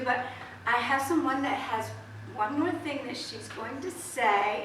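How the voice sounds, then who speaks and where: Woman, acting teacher, somewhat high voice, rambling stage introduction, distant mic, strong room echo. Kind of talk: informal spoken introduction to an audience. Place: small theatre, recorded from the audience. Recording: echoey room, distant mic, clear noise.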